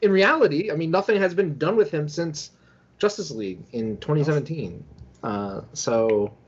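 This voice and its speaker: Husky-voiced man in casual discussion. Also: fairly high pitch, moderate pace, dull audio. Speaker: podcast co-host. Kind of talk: casual news commentary and chat.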